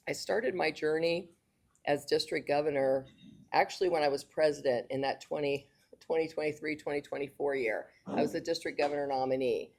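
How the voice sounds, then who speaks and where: Gravelly low-voiced woman giving a speech. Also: moderate pace, a little mellow. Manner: hesitant, many fillers and restarts. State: losing her voice. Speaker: district governor. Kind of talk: speech to a club meeting.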